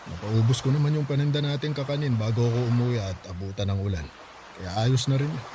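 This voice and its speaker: Male actor as hardworking single father, middle-aged, folksy, reassuring, radio drama, average pitch, noisy ambient backdrop. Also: fast talker, natural voice. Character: devoted, hardworking 45-year-old single father, weary but cheerful. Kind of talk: Filipino radio drama acting. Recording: clearly noisy background ambience.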